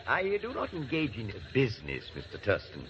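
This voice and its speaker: Haughty male aristocrat, pompous, courtly, lively intonation, muffled vintage radio sound. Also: mid-range voice, moderate pace. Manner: formal, pompous, hesitant. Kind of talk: 1940s radio acting. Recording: muffled, hissy old radio recording.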